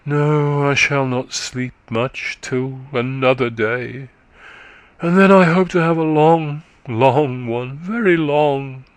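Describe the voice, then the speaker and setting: A man voicing a weary, faint middle-aged countrywoman who is exhausted and short of breath, speaking slowly and low. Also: clean tone, no echo, close to an everyday voice. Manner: slow, low, sorrowful.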